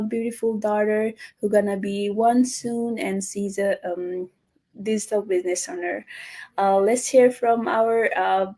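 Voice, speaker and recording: Young woman from Nepal, live-stream host, mellow voice, halting with ums. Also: medium pitch. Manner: hesitant, many fillers, warm welcomes. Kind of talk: live online business interview.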